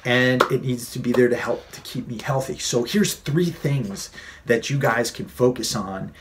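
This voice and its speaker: A man's voice: mid-range voice, moderate pace, background noise. A man in his late thirties hosting a fitness advice vlog, earnest and conversational, repeating key points for emphasis. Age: 30s. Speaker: fitness coach and vlog host.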